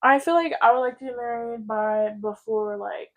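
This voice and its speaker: High-voiced teenage girl chatting candidly. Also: steady tone, normal speed. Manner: candid, informal, heavy use of "like". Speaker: podcast co-host. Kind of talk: casual podcast chat.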